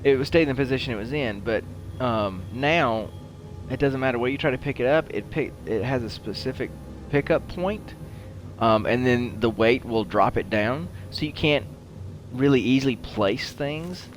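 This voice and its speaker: A man giving casual commentary as he plays a video game, rambling and halting as he goes. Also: mid-range voice, faint music behind. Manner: rambling, halting, talks while playing. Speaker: host of a gaming show.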